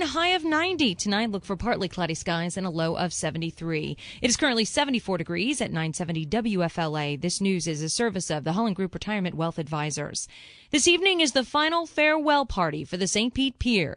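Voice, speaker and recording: A woman's voice: mid-range voice, fairly bright tone, no echo. An American woman working as a radio news anchor, reading scripted local and national news. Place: radio studio.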